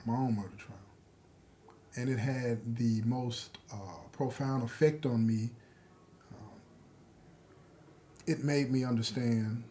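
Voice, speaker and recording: A man giving a reflective personal testimony, speaking in deliberate, halting phrases with pauses. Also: mid-range voice, warm tone, slight background noise. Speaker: prisoner telling his own story.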